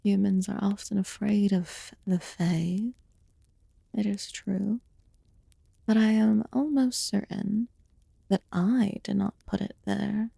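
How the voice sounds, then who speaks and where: A woman voicing an aloof, curious fae witch healer in a fantasy ASMR roleplay, speaking formally and softly, often dropping into a whisper. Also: medium pitch, steady tone, creaky, husky, no echo, heavily acted. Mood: grave, measured. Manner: formal, archaic, deliberate phrasing.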